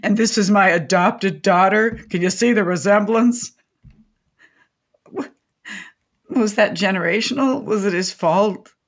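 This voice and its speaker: An actress playing an opinionated, garrulous older widow in a comedic radio play, with a wordy, theatrical, dryly sardonic delivery. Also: female voice, medium pitch, unhurried, pauses often, lightly acted. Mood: irritated, sardonic. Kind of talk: comedic radio drama acting.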